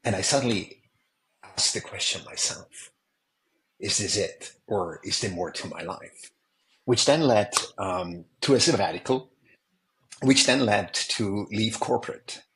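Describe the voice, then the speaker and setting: A man's voice: fairly low pitch, animated, unhurried, fairly bright tone, rough recording. A man from Switzerland, an executive coach, speaking earnestly and reflectively as a guest on a remote podcast interview. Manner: earnest, reflective, quotes others in dialogue. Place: remote call.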